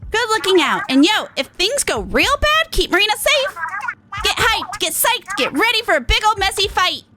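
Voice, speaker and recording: A woman's voice: very high-pitched, sing-song, normal speed, background noise, pitched above the performer's own voice. A woman voicing a brash, hot-headed little rapper sidekick with a cocky, punchy, slangy delivery. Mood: hyped.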